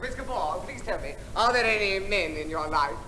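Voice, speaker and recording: A man playing an eager, persistent newspaper reporter in a stage comedy sketch, polite but coaxing and excitable. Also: high voice, brisk. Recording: faintly noisy 1950s theatre recording.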